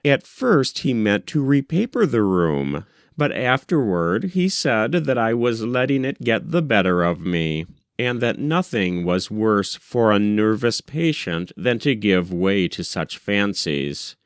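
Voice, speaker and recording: A man reading a first-person literary story aloud as an audiobook narrator, with measured, deliberate phrasing. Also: fairly low pitch, sing-song, smooth, no room sound, clean studio sound. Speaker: audiobook reader.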